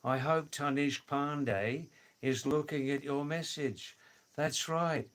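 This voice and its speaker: A man's voice: on the high side, steady tone, a bit bright. An older man hosting a casual livestream from his home in the Himalayas, answering viewer comments, repeating words and chuckling. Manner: slow, drawn-out, repeats words, chuckles "hoo hoo hoo". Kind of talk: casual live chat.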